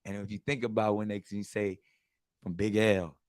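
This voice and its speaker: Animated American man hosting a chat. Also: fairly low pitch, moderate pace, no echo, studio quality. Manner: animated, many repeated starts. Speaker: host. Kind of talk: casual conversation with a guest.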